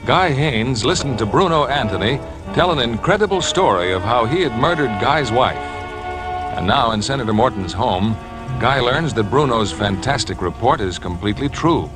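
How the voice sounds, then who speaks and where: A man's voice: medium pitch, expressive, normal speed, music in the background, close to an everyday voice. A man performing as a solemn narrator in scripted 1950s radio drama style.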